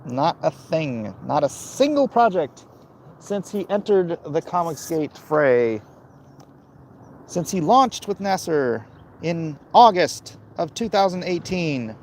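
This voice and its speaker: Gravelly man chatting on a livestream. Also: a little high, very animated, slow, a little mellow, slight background noise. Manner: blunt, dismissive, mutters and hums between thoughts. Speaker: livestream host reading and answering chat comments. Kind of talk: casual opinionated livestream chat.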